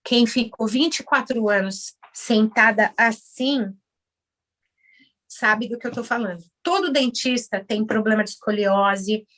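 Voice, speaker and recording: Lively Brazilian woman telling her story. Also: fairly high pitch, measured pace. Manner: animated, acts out dialogue with others in shifted voices. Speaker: guest, Brazilian orthodontist now working as a house cleaner. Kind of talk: casual interview storytelling.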